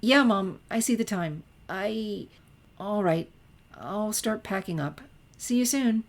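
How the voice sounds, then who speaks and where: A woman voicing an excitable, nerdy teenage girl in a story reading, eager and gushing. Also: medium pitch, slow, pitched above the performer's own voice. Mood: sheepish. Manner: eager, gushing, stammering when flustered.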